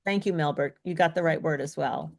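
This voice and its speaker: Encouraging woman speech coach, lower voice. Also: a little fast, gravelly. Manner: encouraging, praising, explanatory. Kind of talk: interactive online workshop teaching.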